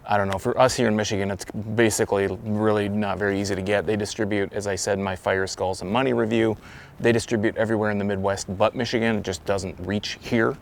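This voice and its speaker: A man with a fairly low voice and a gravelly, fry-laden edge talks through a beer review outdoors. He rambles easily and keeps restarting his thoughts. A faint hiss of wind sits behind him. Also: level tone, quick pace. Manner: rambling, self-correcting, loose. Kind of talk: casual beer review vlog. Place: outdoors, windy. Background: wind noise.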